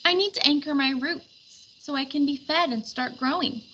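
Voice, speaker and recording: A woman's voice: high voice, moderate pace, fairly bright tone, muffled recording, voice pushed up. A woman voicing a fussy, determined little female daisy in a storybook, complaining in an emphatic, particular way. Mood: eager, determined.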